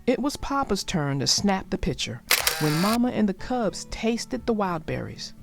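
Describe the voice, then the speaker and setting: A woman narrates a children's story in a very animated voice. She takes her time, phrasing things dramatically as she speaks to her listeners. Soft music plays behind her. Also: medium pitch, vocal fry. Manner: deliberate, dramatic phrasing, addresses listeners directly. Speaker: read-aloud storyteller.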